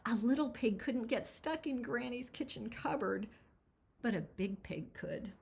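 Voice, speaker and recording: A woman reading a picture book aloud as its author, with expressive, dramatic storytelling. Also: fairly high pitch, measured pace, gravelly. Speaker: children's author reading their own book.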